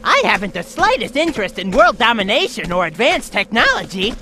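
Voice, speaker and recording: A cocky young hawk leader. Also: male voice, high voice, big pitch swings, brisk, sharp tone, slight background noise, heavily acted. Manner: cocky, lazy. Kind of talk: video game cutscene acting.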